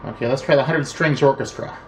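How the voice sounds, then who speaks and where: A middle-aged man casually demonstrating electronics at a workbench, thinking aloud in an unhurried way as he works. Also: mid-range voice. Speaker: electronics repair hobbyist, host. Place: small indoor home workshop at a bench. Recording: roomy, distant mic with background noise.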